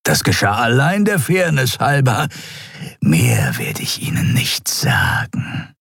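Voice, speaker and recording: A man voicing a sinister male reptile cultist who speaks in a slow, mocking, hissing whisper. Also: mid-range voice, wildly swooping pitch, gravelly, fairly bright tone, husky, no room sound, studio quality, soft background music, pitched above the performer's own voice, full character voice. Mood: menacing.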